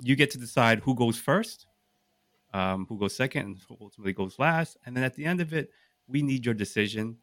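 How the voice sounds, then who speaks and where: A man hosting a casual podcast, explaining and asking questions in deliberate, emphatic phrases. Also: mid-range voice, fairly bright tone, no echo. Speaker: podcast host.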